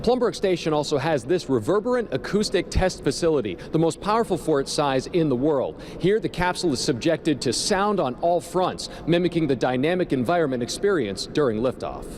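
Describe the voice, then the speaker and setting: A man's voice: a little high, very animated, a little fast, hardly any pauses, darkish tone, a little hiss or hum. An American man narrating a scripted television news report as a reporter.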